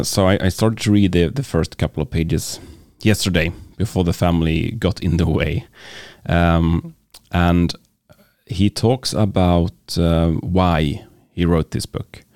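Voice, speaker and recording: A man's voice: deep voice, a little mellow, dry recording, clean studio sound. A man with a Swedish accent, hosting a podcast and talking casually about books, hesitant with many fillers and restarts. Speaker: podcast host. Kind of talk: casual book talk.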